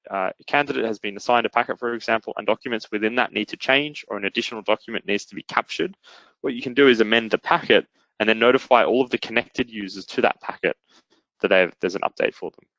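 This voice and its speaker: Quick-talking man presenting a software demo. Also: fairly low pitch, dry recording, lo-fi sound. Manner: instructional, step-by-step. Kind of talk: explanatory webinar demo narration.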